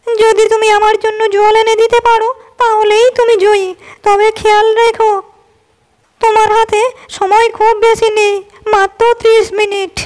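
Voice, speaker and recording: A woman voicing a kind, wise fairy queen in a storybook cartoon, speaking in a gentle, teaching tone. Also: extremely high pitch, level tone, moderate pace. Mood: instructing.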